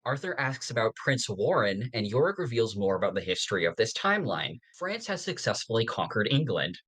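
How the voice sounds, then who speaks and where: A man's voice: fairly low pitch, sing-song, brisk, a bit bright, husky. A man co-hosting a podcast in a casual, conversational style. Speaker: podcast co-host.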